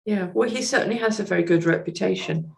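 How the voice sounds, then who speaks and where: A woman speaking as a podcast guest, discussing literature casually in a measured, considered way. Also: mid-range voice, vocal fry, slight background noise.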